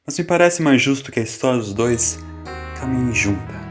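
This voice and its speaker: A man playing an audio drama narrator who addresses the listener directly in a measured manner. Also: mid-range voice, expressive, natural voice. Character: interrupting storyteller narrator weighing both sides. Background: music.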